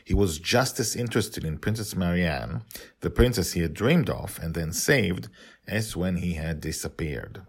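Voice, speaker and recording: A man with a low voice acts as host and narrator of a serialized tale. He delivers it as storytelling, in the manner of an author reading his own work. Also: animated, normal speed, gravelly, breathy edge.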